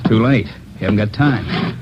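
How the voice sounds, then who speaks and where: An actor playing a panicky crook turned informant, muttering in short broken bursts in 1940s radio style. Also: male voice, on the low side, a little slow, noisy background, close to an everyday voice. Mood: agitated, fatalistic. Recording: muffled vintage radio recording, music behind.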